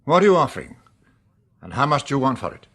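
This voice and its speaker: An actor playing a cautious, courteous British managing director in a classic radio drama, formal and a little hesitant. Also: male voice, mid-range voice, very animated, fast talker, a bit bright. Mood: astonished, cautious.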